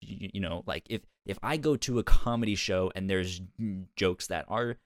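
A man's voice: deep voice, moderate pace, dry recording, clean studio sound. A man hosting a review podcast in casual conversation, stammering and often repeating sounds and words as he goes. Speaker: podcast host.

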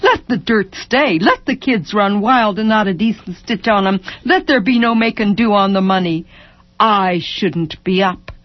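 Worn, anguished mother, voiced by a female narrator, defiant and folksy, slow delivery, slightly dull recording. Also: low-pitched, no echo. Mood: bitter, defiant. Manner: bitter rhetorical questions in clipped folksy speech.

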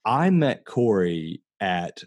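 A man's voice: on the low side, big pitch swings, slow, a little mellow, dry recording. An American man in his late thirties hosting a casual, heartfelt podcast chat, talking earnestly and telling stories conversationally. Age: late 30s. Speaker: podcast host.

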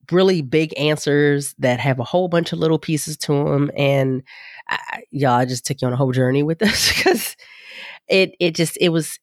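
A woman's voice: low voice, no room sound, clean studio sound. A woman hosting her podcast, sounding nasal and congested from a sinus infection, chatting in a loose, rambling, folksy way and calling her listeners y'all. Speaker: podcast host. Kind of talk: casual podcast intro chat.

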